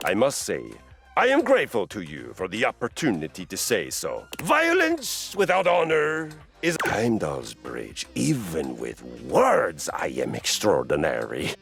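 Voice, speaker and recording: An actor playing a boastful, swaggering male warrior who coaches fitness, in a booming, theatrical game voice. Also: wildly swooping pitch, a little slow, gravelly, fairly bright tone, breathy edge, faint music behind, exaggerated voice. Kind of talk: game voice acting.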